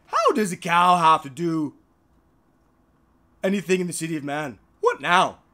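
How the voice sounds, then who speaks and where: An animated storyteller reads a children's bedtime tale with a bright, forceful voice and big swings in pitch. The delivery is theatrical and a little halting, doubling back on words and slipping in jokey asides. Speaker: author reading his own short story.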